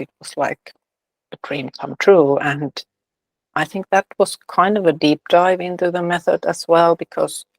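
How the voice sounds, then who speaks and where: Gravelly-voiced woman, dry sound. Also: low-pitched, darkish tone, dry recording. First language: Finnish. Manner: thoughtful, hesitant with fillers. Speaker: guest, releasing facilitator and author. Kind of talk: casual interview talk.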